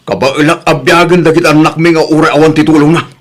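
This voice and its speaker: A man's voice: a little high, fairly even intonation, a little fast, lightly acted. A man with an Ilocano accent acting in an audio drama as a hurt, weary but resolute husband and father. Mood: bitter, resolute. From Philippines.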